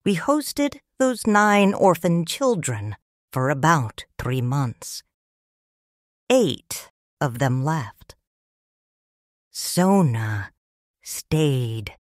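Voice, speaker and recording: A woman's voice: low voice, big pitch swings, drawn-out words, pauses often, no echo. A woman narrating a first-person story audiobook in a grave, tender way, close to the mic.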